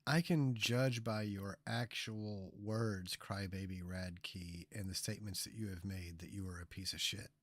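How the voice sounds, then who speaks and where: A man's voice: deep voice, steady tone, unhurried, few pauses, no room sound. A male streamer reacting to clips with scornful, heavily profane commentary, mocking the people he watches.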